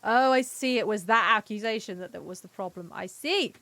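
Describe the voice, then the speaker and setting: A woman's voice: medium pitch, brisk, sharp tone, dry recording. A woman giving casual, chatty livestream commentary on a video game, playful and given to sing-song exclamations. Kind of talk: casual gaming stream commentary. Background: faint music.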